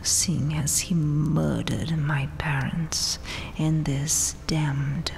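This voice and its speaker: A woman voicing a vengeful, coldly dominant vampire queen in a breathy, mocking, regal whisper for an ASMR roleplay. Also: deep voice, fairly even intonation, a little mellow, a little hiss or hum, full character voice. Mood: gloating. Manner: slow, deliberate, mocking, regal.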